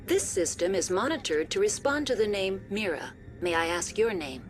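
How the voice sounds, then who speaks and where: An actress as a helpful female facility computer in a sci-fi game. She is formal and procedural, with a lively, varied pitch. Faint music plays underneath. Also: moderate pace, lightly acted. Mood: polite, procedural. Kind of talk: scripted video game acting.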